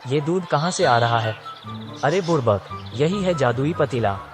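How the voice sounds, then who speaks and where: A bumbling, street-smart village thief, voiced by a man for a cartoon story. He talks roughly and blusters in a mid-range voice, with faint music underneath. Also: medium pitch, expressive, measured pace, lightly acted. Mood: scheming. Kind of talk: cartoon voice acting.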